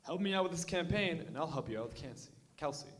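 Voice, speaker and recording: A man reading the part of a pushy, excitable jock who acts as a wingman, in a staged reading of a musical. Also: a little high, quick pace, lightly acted. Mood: pumped. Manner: boisterous, bro slang.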